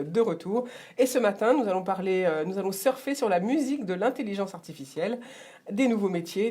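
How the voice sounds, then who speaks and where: French woman host, radio show opener, lively rhetorical delivery. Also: mid-range voice. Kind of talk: radio show opening monologue.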